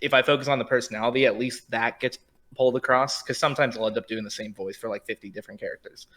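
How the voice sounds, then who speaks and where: A man with a bright, forward voice at medium pitch. He chats casually as a panelist, joking and gently putting himself down. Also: fairly even intonation, fast talker. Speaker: panelist, game master. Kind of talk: casual chat.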